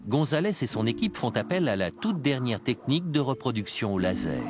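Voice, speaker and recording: A man narrating a documentary in a measured, explanatory scripted voice-over, recorded on a studio mic. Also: mid-range voice, very animated. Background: background music.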